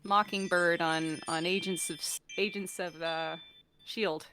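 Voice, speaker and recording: A woman chatting casually and geekily about sci-fi shows, talkative and animated, as a guest on a stream. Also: lowish voice, unhurried, slight background noise. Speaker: guest on a fan talk stream.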